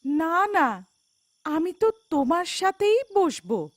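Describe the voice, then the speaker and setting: Woman voicing an insistent, high-pitched menacing witch. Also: big pitch swings, takes it slowly, no room sound, exaggerated voice. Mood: insistent, luring. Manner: insistent, commanding, threatening. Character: menacing female witch posing as a beautiful passenger. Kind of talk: animated horror folktale voice.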